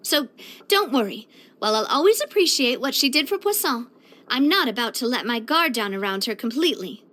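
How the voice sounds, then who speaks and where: A woman voicing a bright, earnest, sociable young female leader in a video game, chatty and expressive. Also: fairly high pitch, a little fast. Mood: cautioning, confident. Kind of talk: video game character voice lines.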